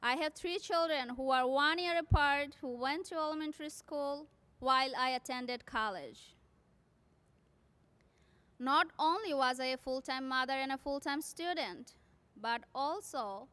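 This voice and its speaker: A woman's voice: high voice, measured pace, a bit bright. A woman from South Asia whose first language is not English, a graduating nursing student giving an earnest prepared commencement speech.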